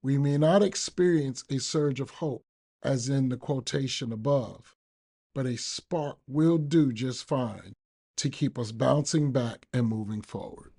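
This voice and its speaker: A man reading a daily reflection aloud for a recovery podcast, with measured, deliberate phrasing. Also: mid-range voice, vocal fry, mellow, slightly rough. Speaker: guest reader on a recovery podcast.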